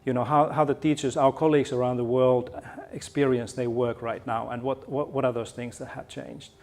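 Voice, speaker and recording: A man's voice: mid-range voice, little pitch movement, a little fast, darkish tone. A middle-aged man from Finland with a Finnish accent, giving a conference keynote in a friendly, joking way with frequent restarts. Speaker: keynote speaker. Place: conference hall.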